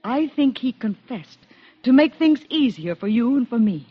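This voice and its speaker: A woman's voice: a little high, expressive, moderate pace, slightly rough. An actress playing a bitter, defiant woman in a radio drama, speaking in a pointed, goading way. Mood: accusing, cutting. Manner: pointed, needling, probing. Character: bitter, goading, defiant sister of a condemned man. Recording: dull band-limited radio drama recording.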